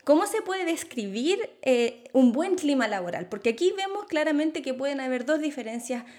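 A Chilean woman with a fairly high voice hosts an interview. She asks long, carefully framed questions in a conversational tone. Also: big pitch swings, moderate pace, a little mellow. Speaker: host.

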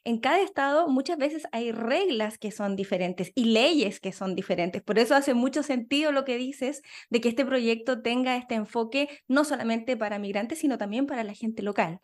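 A woman hosting a podcast, interviewing a guest with lively, curious questions. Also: fairly high pitch, normal speed, few pauses. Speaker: podcast host.

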